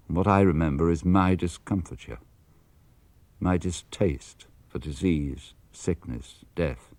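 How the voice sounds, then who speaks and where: A man with a very deep voice reads diary notes in a sombre, reflective way. The recording is close and dry, with no room sound. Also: a little mellow, no room sound. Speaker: reader of diary entries. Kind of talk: reading diary entries aloud for radio. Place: radio studio.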